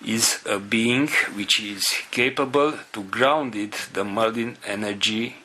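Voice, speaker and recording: A man with a non-native English accent giving a spiritual talk to followers in a solemn, halting manner, in short phrases. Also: lowish voice, sharp tone, a little hiss or hum. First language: not English. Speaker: spiritual group leader.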